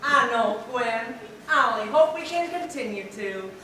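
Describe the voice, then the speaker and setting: A teenage girl playing a gentle, anxious, protective mother in a stage melodrama. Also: on the high side, animated, unhurried. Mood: tender, worried. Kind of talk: high school stage melodrama. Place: large school theatre stage, filmed from afar. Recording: echoey auditorium from far off, noisy.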